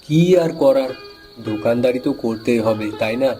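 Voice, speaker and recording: Man voicing a humble village tea seller, mellow-toned. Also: medium pitch, moderate pace, faint music behind, close to an everyday voice. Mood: reluctant. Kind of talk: Bengali cartoon moral-story voice acting.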